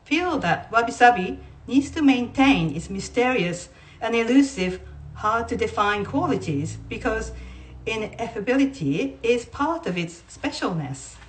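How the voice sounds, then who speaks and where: A woman reading non-fiction aloud in a measured, deliberate way, like a writer presenting a text. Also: a little high, lively intonation, a little hiss or hum. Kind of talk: read-aloud of non-fiction with brief informal asides. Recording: voice distant from the mic.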